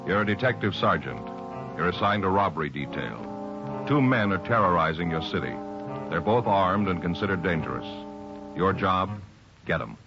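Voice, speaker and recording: A man's voice: low-pitched, expressive, moderate pace. A man announcing a 1950s radio drama in a solemn, emphatic, dramatic style. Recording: muffled old radio recording. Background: loud dramatic music.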